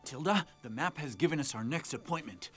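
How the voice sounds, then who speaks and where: A man playing an earnest, urgent, courteous young adventurer hero in an animated series dub. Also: on the high side, a little fast, lightly acted. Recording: loud music over the voice.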